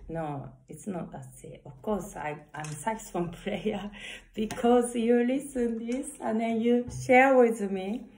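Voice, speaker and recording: A woman in her thirties with a strong Japanese accent, slowly reading love affirmations to a phone camera in a small room, with earnest, halting English and frequent self-corrections. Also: medium pitch, a little mellow. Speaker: saxophonist vlogger. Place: corner of a small room indoors. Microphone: phone camera at arm's length.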